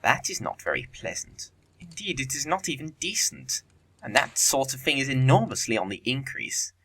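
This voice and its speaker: A man's voice: medium pitch, very animated, normal speed, pushed and bright, slight background noise. A man playing a flippant, witty young upper-class bachelor in a period comedy audio play, with an arch, theatrical delivery. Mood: mock indignant.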